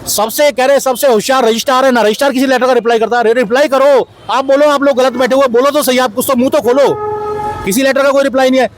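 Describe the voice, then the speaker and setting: Fast, insistent union leader, high voice. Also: male voice, steady tone, slight background noise. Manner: insistent, repeats points. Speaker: union president. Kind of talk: on-the-spot protest interview. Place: outdoor protest site by a street.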